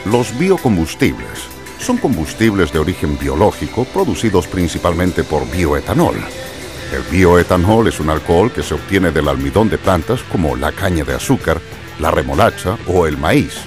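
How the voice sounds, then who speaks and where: A man's voice: low-pitched, very animated, normal speed. A man reading scripted explanatory narration as a narrator. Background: music.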